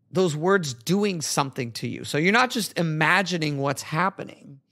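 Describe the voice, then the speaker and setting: A man hosting a solo podcast, his voice hoarse and raspy as it slowly comes back. He talks in an earnest, chatty way and corrects himself mid-sentence. His voice sits a little high for a man. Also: fairly bright tone. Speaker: podcast host.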